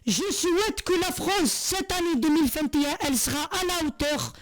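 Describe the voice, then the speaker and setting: Woman, high voice, sincere vlog monologue, halting and repetitive. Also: lively intonation. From Algeria. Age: 40s. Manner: earnest, repetitive, many hesitations and restarts. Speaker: vlogger. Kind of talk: heartfelt monologue to camera.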